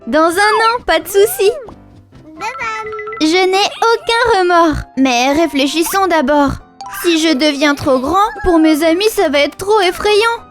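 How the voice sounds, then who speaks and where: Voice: very high voice, measured pace. A performer voicing an eager, playful little lion cub in a rhyming children's cartoon, bouncy and exclamatory. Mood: eager, worried. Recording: music behind the voice.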